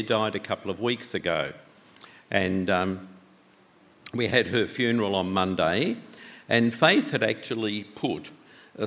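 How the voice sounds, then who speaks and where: A man preaching a sermon with a loud voice, talking conversationally and asking the congregation many questions. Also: on the low side. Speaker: pastor. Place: church. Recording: long church reverb.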